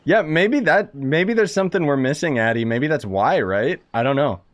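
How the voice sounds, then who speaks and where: A man's voice: on the high side, expressive, normal speed, no echo, clean studio sound. A man chatting informally to viewers as a game streamer, rambling and thinking aloud. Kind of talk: casual live-stream commentary, chatting with viewers.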